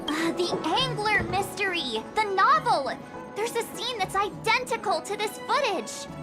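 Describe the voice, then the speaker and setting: An actress voicing a bubbly young woman who loves mystery novels, excitable and theatrical, quick to jump to wild theories, in a video game dub. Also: extremely high pitch. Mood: excited. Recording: game cutscene with music behind.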